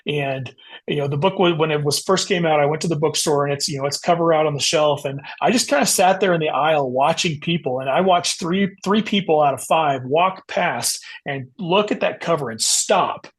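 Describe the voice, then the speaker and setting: Man, slightly high voice, husky, casual interview talk, explanatory, author guest. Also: moderate pace. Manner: explanatory, often says "you know".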